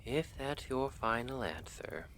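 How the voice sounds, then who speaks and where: A man playing an earnest, lovestruck assassin from a noble house in an ASMR roleplay, speaking in measured, formal, hesitant phrases. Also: medium pitch, animated, a bit bright, slight background noise, poor audio. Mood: earnest, cautious.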